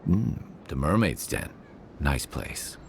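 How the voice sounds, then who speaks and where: An actor playing a calm, wry peacemaking male companion in a video game. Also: very deep voice, slow, vocal fry, slight background noise, full character voice. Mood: easygoing.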